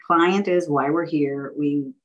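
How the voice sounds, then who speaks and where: Low-voiced woman executive, measured and methodical. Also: fairly even intonation, dull audio, a crowd in the background. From San Francisco, United States. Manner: measured and orderly, laying out points as lists with "um" pauses. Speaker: guest, banking executive and board director. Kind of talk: conversational interview answers.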